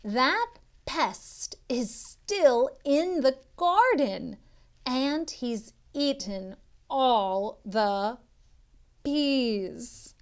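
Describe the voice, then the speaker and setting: A woman's voice: high-pitched, lively intonation, drawn-out words. A woman tutor reading a picture book aloud to children, expressively and instructively, drawing out some words.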